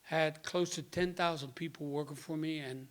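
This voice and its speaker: A man in his sixties speaks with a Dutch accent, his voice sitting a little higher than most men's. He tells his story in a plain, halting way, stopping often to search for words. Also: steady tone. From Netherlands. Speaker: guest speaker. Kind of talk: personal testimony.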